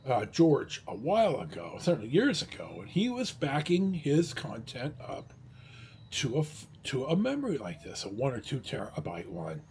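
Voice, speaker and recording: A man talking casually in a rambling, halting vlog style, blunt and a little salty, with a husky, rough-edged voice. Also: medium pitch, wildly swooping pitch, darkish tone, a little hiss or hum. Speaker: vlogger.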